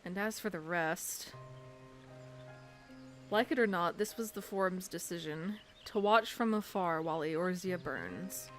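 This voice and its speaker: A woman's voice: fairly low pitch, expressive, fairly bright tone, faint music behind, pitched below the performer's own voice. Woman reading as a solemn, eloquent young scholar. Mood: grave resignation. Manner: measured, formal, earnest. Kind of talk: reading game dialogue aloud in character.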